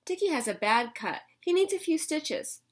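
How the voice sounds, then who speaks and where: A woman reading aloud who voices a stern, flustered male doctor giving loud, exasperated orders. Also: high voice, sing-song, moderate pace, pitched above the performer's own voice.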